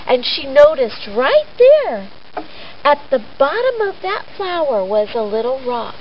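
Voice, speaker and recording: Woman, children's storyteller, high voice, unhurried, gentle teaching delivery, dull lo-fi sound. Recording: roomy echo, dull sound, faint noise.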